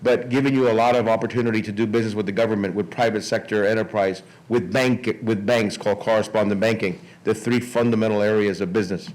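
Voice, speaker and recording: A man in his sixties speaks to a conference in an informal way. His voice sits a little low. He moves haltingly through his remarks, doubling back on words and restarting phrases. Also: steady tone, a little mellow, rough recording. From Miami, United States. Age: 60s. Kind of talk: informal public speech.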